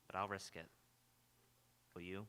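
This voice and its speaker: A man's voice: sits low, level tone, unhurried, pushed and bright, clean tone. A young man playing a wry, debonair, teasing ex-husband in live radio theatre. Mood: fond, playful.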